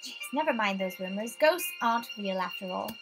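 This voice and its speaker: A woman voicing an impatient, teasing young female realtor from a visual novel game, animated and pushy. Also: medium pitch, moderate pace, music in the background, close to an everyday voice. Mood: dismissive.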